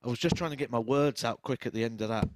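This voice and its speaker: A man's voice: medium pitch, very fast, dry recording. A man co-commentating on live darts, giving enthusiastic, opinionated analysis and predictions. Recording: noisy live commentary feed.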